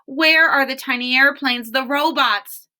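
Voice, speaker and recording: A woman reading aloud plays a young boy in a picture book. His voice is high and bright, earnest and full of curiosity. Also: a little slow, heavily acted. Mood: puzzled. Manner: earnest, questioning. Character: young boy, curious, troubled storybook hero.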